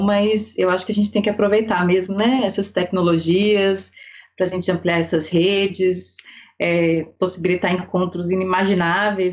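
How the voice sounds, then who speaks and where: A woman in her forties with a Minas Gerais accent, a costume designer speaking on an online panel over a video call, thoughtful and full of fillers and restarts. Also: on the low side. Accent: Minas Gerais Brazilian Portuguese. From Brazil. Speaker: costume designer and theater teacher.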